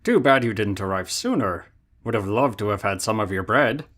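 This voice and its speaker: A man voicing a wary, practical male dwarf traveler who speaks in a measured, questioning way. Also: on the low side, animated, fairly bright tone. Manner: measured, questioning, a bit wry. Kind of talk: fan audio drama roleplay.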